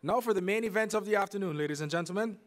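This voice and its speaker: A man's voice: high voice, very fast. A man from Barbados acting as master of ceremonies, introducing speakers at a formal event in a polished, announcing way.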